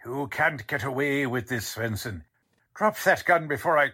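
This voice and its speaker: An actor playing an impatient, sarcastic, tough-talking police detective in a 1940s-style radio drama. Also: male voice, mid-range voice, expressive, moderate pace, bright, forceful tone. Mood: forceful.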